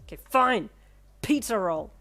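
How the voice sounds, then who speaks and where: Woman doing a brash shouting young man. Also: a little high, lively intonation, a little slow, pitched above the performer's own voice. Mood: yelling furious. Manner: shouting, gruff, swearing. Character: hot-tempered young man, brash hospital patient.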